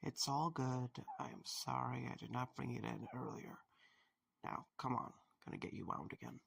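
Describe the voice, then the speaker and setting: A man playing a weary, patient young night guard in an audio drama, gravelly and a little hesitant. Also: mid-range voice, fairly even intonation, moderate pace, a bit bright, exaggerated voice. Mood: relieved. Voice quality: gravelly, husky.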